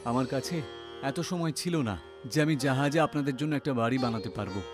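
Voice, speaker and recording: A man voicing an honest, earnest village woodcutter in an animated moral story, speaking expressively. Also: mid-range voice, fairly quick, natural voice. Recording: loud music behind the voice.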